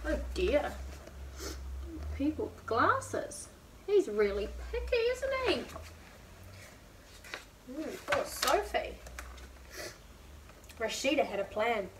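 A woman in her twenties reading a picture book aloud to young children, expressive and asking listeners questions. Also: sits high, slow pace, pauses often. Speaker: preschool storytime reader. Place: indoor room, seated beside a whiteboard. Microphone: camera a few feet away. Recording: distant camera mic, faint room noise.

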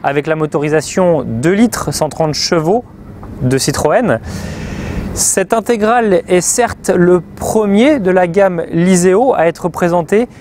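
A man, presenting a vehicle review in a measured, explanatory way into a lapel mic. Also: high-pitched, expressive, a little mellow, slight background noise. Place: outdoors by a river and inside a motorhome.

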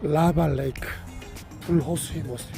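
Hoarse, gravelly man with a cold. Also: a little high, fairly even intonation, a little slow, mellow, soft background music. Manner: explains each stop to the camera. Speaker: travel vlogger. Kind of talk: casual travel narration. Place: outdoors at a desert monument site.

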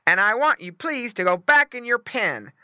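A woman's voice: on the high side, big pitch swings, normal speed, sharp tone, no echo, pitched above the performer's own voice. A woman voicing a stern but courteous farm dog who guards a garden, in a storybook reading. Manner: firm, courteous.

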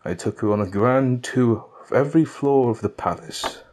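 A man playing a patient, gentle older king in an audio drama, with deliberate, formal diction. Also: medium pitch, natural voice. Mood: fond, thoughtful.

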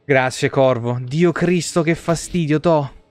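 A man's voice: fairly high pitch, measured pace, dry recording. A young man giving casual live game commentary, muttering and swearing in exasperation to his viewers. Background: video game music.

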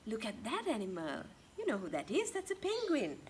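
Female children's storyteller, 30s, higher-pitched and very animated, outdoor read-aloud. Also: normal speed. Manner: expressive, addresses children with questions. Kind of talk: interactive picture-book read-aloud. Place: outdoors in a home garden. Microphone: camera a couple of metres away. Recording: outdoor ambient garden noise.

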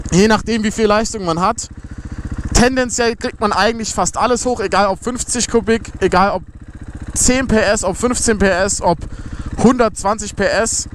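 A young man with a fairly high voice calmly walks through each step, casual and instructive. He is speaking from the saddle of a motorcycle on an open road, recorded on a camera mounted on him, with a faint engine drone underneath. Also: a little hiss or hum. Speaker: motovlogger. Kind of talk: riding tutorial commentary. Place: outdoors on a motorcycle on a closed road. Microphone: helmet or body camera while riding.

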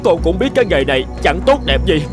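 A man voicing a humble, sly young thief pleading in an animated folk tale, with music behind. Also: a little high, quick pace.

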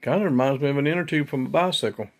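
A man's voice: mid-range voice, racing. A man with an Appalachian accent, joking dryly from behind the camera in a home kitchen. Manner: dry, joking, folksy.

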